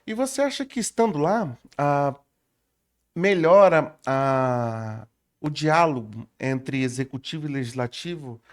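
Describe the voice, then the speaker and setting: Male podcast host, Brazilian accent, fairly high voice, conversational, builds questions hesitantly and the long way round. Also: expressive, a little slow. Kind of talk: conversational interview.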